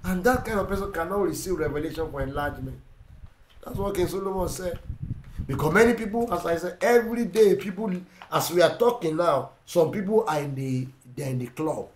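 A middle-aged man with a Ugandan English accent preaching a sermon, emphatic and repetitive, swinging between loud and quiet. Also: a little high, lively intonation, brisk, roomy sound, slight background noise, rough recording. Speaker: pastor. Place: indoor room with plain wall. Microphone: seated facing camera.

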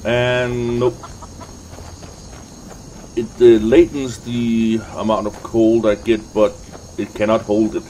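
Male let's play host, unhurried and muttering, casual commentary, light background game noise. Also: lowish voice, level tone, dark tone, rough recording. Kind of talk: casual gameplay commentary, thinking aloud.

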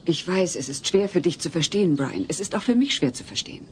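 A woman's voice: lowish voice, lively intonation, quick pace, a little hiss or hum, lightly acted. A woman playing an earnest family mother with dry humour in a comedy audio play.

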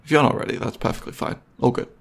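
A young man playing a gentle, nerdy, considerate boyfriend in an intimate ASMR roleplay, talking in a hesitant, soft-spoken, conversational way. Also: fast, close to an everyday voice. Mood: nervous, tender.

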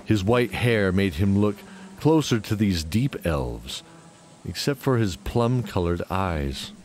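A man's voice: low voice, animated, slow pace, a little mellow. A man narrating a scripted fantasy audio drama. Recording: clear background noise and ambience.

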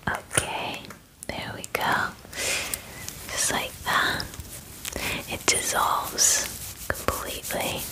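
Slow, whispering young woman, ASMR roleplay. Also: rough recording. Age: 20s. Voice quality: soft, breathy whisper. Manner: slow, gentle, chatty and unhurried. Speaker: ASMR performer playing a werewolf spa groomer. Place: small indoor room. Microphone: close-up ASMR microphone.

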